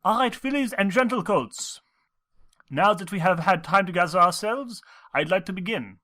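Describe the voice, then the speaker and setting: A man's voice: high voice, animated, moderate pace, sharp tone. A man voicing an exacting pony conductor who speaks formally and with authority in a story reading. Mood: businesslike. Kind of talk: audiobook character acting.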